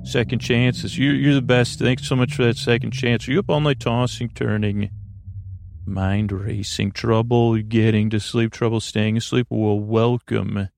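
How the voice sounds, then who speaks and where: A man hosting a bedtime podcast in a creaky, lulling, soothing voice, rambling and halting as he interrupts himself, with softly enunciated words. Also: medium pitch, little pitch movement. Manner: meandering, halting, self-interrupting; soft, gentle enunciation. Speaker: podcast host.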